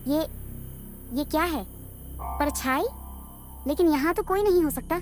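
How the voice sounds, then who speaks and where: A woman's voice: sits high, a little slow, soft background music, pitched above the performer's own voice. A woman voicing a curious, brave ten-year-old boy with an earnest manner in a cartoon story. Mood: frightened, puzzled.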